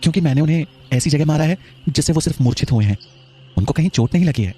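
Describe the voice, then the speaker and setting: A man voicing a brave, calm fourteen-year-old boy in a historical story, polite and reassuring. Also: on the high side, quick pace, husky, soft background music, voice pushed up.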